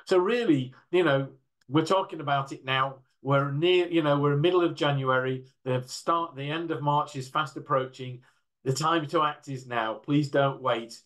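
An older man giving a measured, explanatory slide presentation as a panel speaker on an indoor video call. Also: on the high side, a bit bright. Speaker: panel speaker from a standards council.

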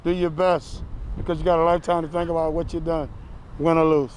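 A man's voice: a little high, level tone, fairly quick, darkish tone, poor audio. A middle-aged man, a football coach, speaking emphatically in an outdoor field interview. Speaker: high school football coach. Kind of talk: motivational interview. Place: open grass field outdoors. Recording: faint outdoor vehicle noise.

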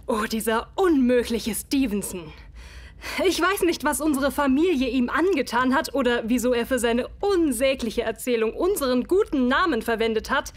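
High-voiced, proud Victorian lady doctor. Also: expressive, normal speed. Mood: indignant. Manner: formal, precise, haughty. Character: proud, defensive Victorian woman psychologist and doctor. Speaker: voice actor in an audio drama. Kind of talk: German radio play dialogue.

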